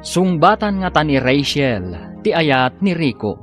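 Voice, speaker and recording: A man's voice: a little high, big pitch swings, a little slow. A man with a Filipino accent announcing a radio drama in scripted, dramatic narration. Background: guitar music.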